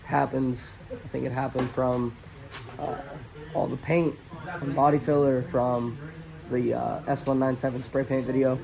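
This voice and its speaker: A man hosting a car channel, hoarse as he loses his voice, explaining a suspension install in a relaxed, chatty way with filler pauses. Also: medium pitch, a crowd in the background, rough recording. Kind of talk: casual how-to narration. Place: auto shop garage.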